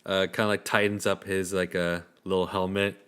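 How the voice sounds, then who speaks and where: A man working as game master, improvising casual narration in a halting, easygoing, filler-heavy way. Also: low voice, level tone, fairly bright tone, clear, resonant tone.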